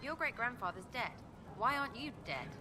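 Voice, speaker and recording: A woman's voice: a little high, very animated, a little fast, noisy background. A woman voicing a brash young elf archer in a video game, with an English accent and flippant, slangy, clipped phrasing. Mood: flippant, defensive. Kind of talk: video game character acting.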